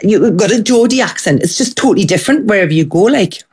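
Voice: medium pitch, expressive, quick pace, a little mellow, slightly rough. Chatty coach. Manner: chatty, many fillers and restarts. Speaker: accent coach. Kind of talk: casual interview answers.

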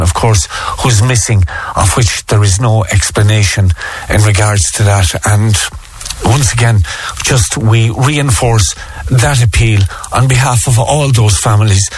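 A man's voice: mid-range voice, normal speed, slightly rough, poor audio. An Irish man presenting a local radio show, chatty and earnest, with long, rambling sentences. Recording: radio broadcast with faint music.

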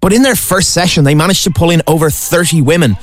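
A man narrating a local news feature in a measured, scripted voice-over. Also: fairly high pitch.

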